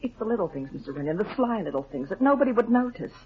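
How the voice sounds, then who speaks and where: A woman's voice: mid-range voice, very animated, quick pace, a little hiss or hum, natural voice. Woman acting as dramatic, anxious radio-melodrama wife. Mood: worried, confiding. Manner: dramatic, pleading, indignant when crossed. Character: anxious, suspicious, well-mannered wife of invalid husband. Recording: dull, lo-fi vintage 1950s radio recording.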